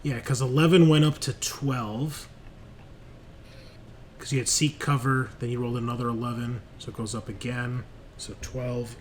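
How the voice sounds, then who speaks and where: A man's voice: mid-range voice, fairly even intonation, moderate pace. A man running a horror tabletop roleplaying game as game master, switching between dramatic narration and casual rulings. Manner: swears casually. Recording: clear background noise.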